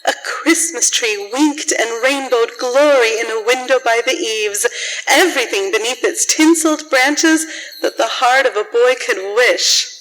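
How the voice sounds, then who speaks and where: A woman narrating a scripted story for a radio drama in a measured storytelling manner. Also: fairly high pitch, fairly bright tone, poor audio.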